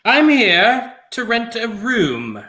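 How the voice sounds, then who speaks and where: A man playing a fussy, humourless would-be lodger in a play, stiff, deliberate and pompous. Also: high-pitched, creaky, sharp tone, heavily acted. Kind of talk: play table read. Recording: echoey room sound.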